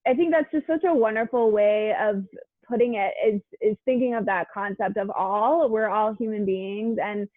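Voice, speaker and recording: A woman hosting an interview over a video call, enthusiastic and quick to laugh. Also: medium pitch, dry recording. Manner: warm, giggly, enthusiastic. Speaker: interview host. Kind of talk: conversational interview. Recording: dry tinny call audio.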